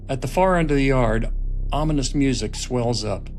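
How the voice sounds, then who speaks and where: A male narrator reads scripted scene directions for a film table read. His voice sits at a middle pitch, with faint music and effects underneath. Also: lively intonation, moderate pace.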